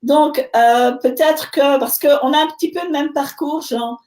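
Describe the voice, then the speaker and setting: High-pitched female interviewer, hesitant delivery, roomy sound. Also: steady tone, a little slow, darkish tone. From United States. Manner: hesitant, with many fillers. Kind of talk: casual conversation. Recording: roomy echo.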